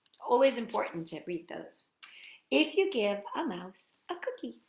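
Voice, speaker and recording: Very lively, high-voiced woman reading to children. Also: moderate pace, echoey room, slight background noise. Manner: animated, playful, chatty asides to young listeners. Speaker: children's storytime host. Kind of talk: picture-book read-aloud for young children.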